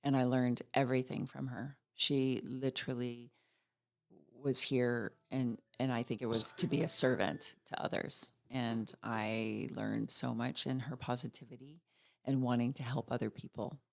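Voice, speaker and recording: Middle-aged woman, podcast guest, positive and sincere, light gravel in the voice. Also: extremely low pitch, little pitch movement, a little slow. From Virginia. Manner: warm, upbeat, heartfelt. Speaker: financial executive guest. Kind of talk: casual interview chat.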